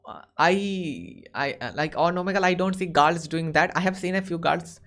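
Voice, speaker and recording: A man's voice: on the high side, lively intonation, moderate pace, fairly bright tone. A young man with an Indian accent, chatting casually and hesitantly, often repeating words as he hosts an online video chat. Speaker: host.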